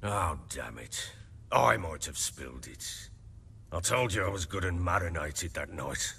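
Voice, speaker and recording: An actor playing a burly, middle-aged, hard-drinking baron in a fantasy video game, with a rough voice and a blustering, defensive manner. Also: male voice, low voice, animated, a little slow, sharp tone, heavily acted. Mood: defensive, evasive. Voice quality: rough, husky.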